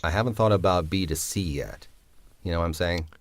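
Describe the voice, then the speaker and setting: A man's voice: sits low, fairly quick, no echo. A man, the writer of a drama, answers interview questions reflectively and hesitantly, with many fillers.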